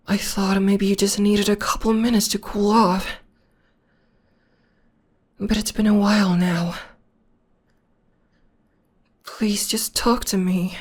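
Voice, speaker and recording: A soft-spoken young witch girlfriend, played by a woman murmurs close to the ear, her breathy voice unhurried and slow. She drifts between hushed whispers and quiet speech, tender and reassuring. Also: mid-range voice. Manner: soft, often whispered, soothing. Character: gentle young witch girlfriend comforting her demon partner. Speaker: voice actress. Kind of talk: ASMR audio roleplay.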